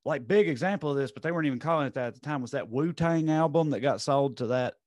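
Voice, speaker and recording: A man in his thirties hosting a podcast, chatting in an animated, questioning way over a video call with a boom mic. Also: fast talker, dry recording. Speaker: podcast host. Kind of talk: casual chat. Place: home studio with blue backdrop.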